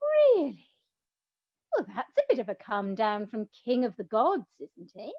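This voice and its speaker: An actress playing a naive, dramatic goddess of love in a comic radio play, speaking with theatrical incredulity, on a slightly dull, roomy recording. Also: female voice, on the high side, huge pitch swings, unhurried, heavily acted. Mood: intrigued, eager.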